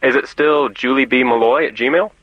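A man working as a support agent, speaking politely and a little haltingly on a service call. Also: medium pitch, no room sound. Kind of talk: customer service phone call. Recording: dull, dry call recording.

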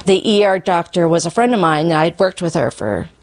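A woman's voice: fairly low pitch, expressive, brisk, a little mellow. A woman, a former physician, speaking candidly and reflectively in an interview.

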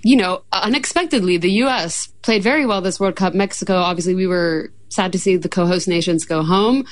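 A hoarse woman giving an enthusiastic interview answer as a football fan guest. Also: lowish voice, brisk, a little hiss or hum.

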